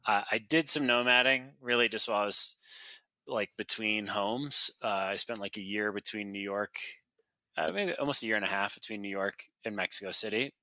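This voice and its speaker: Bright-voiced man, casual guest. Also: fairly low pitch, moderate pace, dry recording. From North America. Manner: relaxed, chatty, laughs easily, frequent fillers. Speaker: podcast guest, company founder. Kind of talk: casual interview talk.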